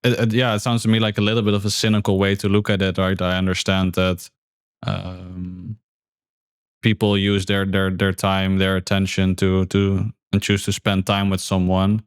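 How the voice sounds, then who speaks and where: Low, flat-voiced male podcast host. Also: normal speed, clear, resonant tone, no room sound, clean studio sound. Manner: thoughtful, many fillers and repeated words. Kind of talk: casual conversation.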